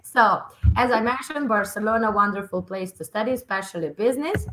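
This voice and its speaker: A woman's voice: medium pitch, fairly quick, a bit bright, rough recording. A woman in her thirties from Serbia, a university recruitment manager giving a webinar presentation on a video call, friendly, with many fillers and restarts. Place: office room indoors.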